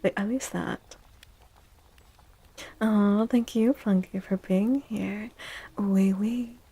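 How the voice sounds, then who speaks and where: A woman streamer chatting softly and drowsily in a very chill ASMR style, often dropping into a whisper. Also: medium pitch, fairly even intonation, slow. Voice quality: soft, breathy. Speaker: live stream host. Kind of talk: soft-spoken ASMR chat with stream viewers.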